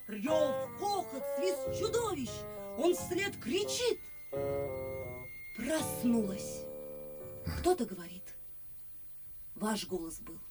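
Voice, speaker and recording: An actress playing a young well-born daughter in a classic verse radio drama, dreamy and artful, telling things expressively with dramatic pauses. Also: female voice, high voice, heavily acted.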